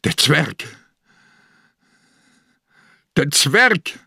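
An older actor in a radio crime drama playing an old, bedridden police inspector, grave and blunt. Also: male voice, fairly high pitch, big pitch swings, very slow, creaky, pushed and bright, breathy edge, no room sound, studio quality, heavily acted. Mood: horrified.

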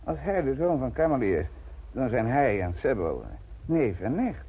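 An actor in a radio play voicing an earnest, polite and rather naive duke with an eager manner. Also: male voice, mid-range voice, big pitch swings, moderate pace, dark tone, husky, low-quality recording. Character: earnest, courteous, somewhat naive young duke.

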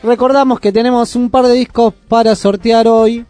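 Very high-pitched male radio host. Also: flat delivery, moderate pace, a little mellow, no room sound, faint music behind. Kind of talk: live radio announcing.

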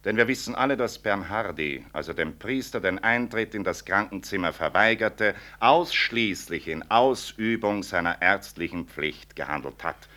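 An actor playing an earnest professor in a German radio play, arguing formally in a deliberate, insistent way. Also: male voice, fairly low pitch, bright, forceful tone. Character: earnest male professor defending a colleague before a board.

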